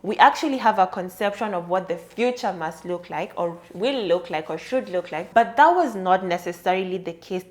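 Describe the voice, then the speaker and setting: A woman's voice: on the low side, expressive, moderate pace, few pauses. A woman hosting a solo podcast, talking through an idea in a thoughtful, explanatory and conversational way. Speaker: podcast host. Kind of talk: solo reflective podcast monologue.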